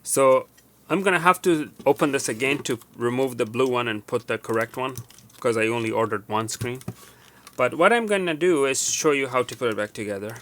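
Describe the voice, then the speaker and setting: A man presenting a casual hands-on repair demonstration, going step by step in a halting way and correcting himself. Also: mid-range voice, fairly bright tone. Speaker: tutorial presenter.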